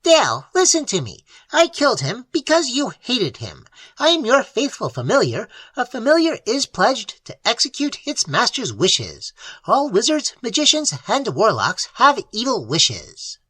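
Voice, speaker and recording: A shrill little demon familiar. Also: wildly swooping pitch, measured pace, pushed and bright, husky, no room sound, exaggerated voice, poor audio. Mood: gloating. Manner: shrill, gleeful and mocking. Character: small malicious male demon familiar, taunting.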